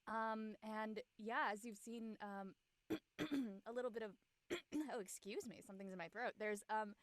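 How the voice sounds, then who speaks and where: A woman with a medium-pitched voice chats casually and unscripted as an actress on a panel. She is hesitant, pausing and restarting as she goes, in a dry recording with little room sound. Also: fairly even intonation, dry recording. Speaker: actress on the show, as a guest panelist.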